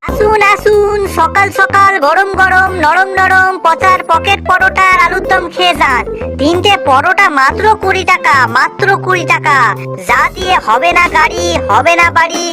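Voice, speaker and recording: A woman's voice: very high-pitched, normal speed, over loud music. A woman voicing a young, eager, deferential servant and food hawker in a children's cartoon. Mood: hawking. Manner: deferential, chirpy.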